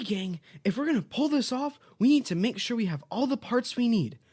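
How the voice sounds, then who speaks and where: High husky, perky children's-show host, acted by a woman. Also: a little mellow. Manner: upbeat, instructive, reassuring. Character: cheerful, bossy children's-show host calmly giving grisly cleanup instructions. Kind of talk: audio drama, parody of educational kids' show.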